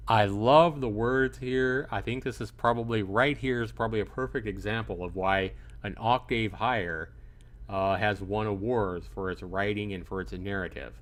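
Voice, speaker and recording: A man's voice: lowish voice, normal speed. A man streaming a live game playthrough, commentating emphatically and repeating his phrases for emphasis. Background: game music.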